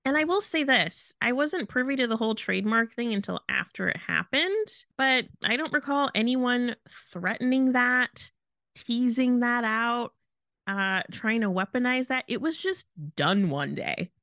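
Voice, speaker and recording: A woman's voice: on the high side, lively intonation, a little slow, a bit bright, no room sound, studio quality. A woman co-hosting a podcast with casual, opinionated commentary, emphatic and incredulous. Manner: incredulous, emphatic, rhetorical questions. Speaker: podcast host.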